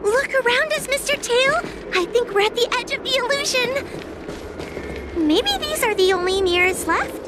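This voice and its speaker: A nervous young girl for a game. Her voice is extremely high and she falters anxiously as she talks. Loud background noise sits behind her. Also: animated, normal speed. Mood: excited. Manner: hesitant, nervous, often faltering. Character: timid, anxious young girl judge in training. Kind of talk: video game voice acting.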